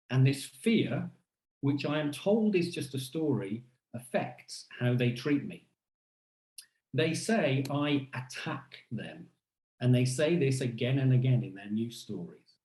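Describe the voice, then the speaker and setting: A man gives a scripted keynote in the first person, with a roomy echo around his voice. His delivery is deliberate and rhetorical, leaning on emphatic pauses. A wry edge runs through the narration. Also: mid-range voice, expressive, darkish tone. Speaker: academic keynote speaker.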